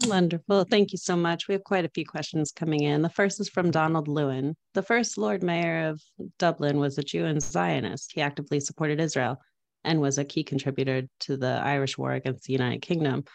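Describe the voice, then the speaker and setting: A woman's voice: sits low, fairly even intonation, brisk, vocal fry, no room sound. A woman moderating a talk, politely reading audience questions to the speaker.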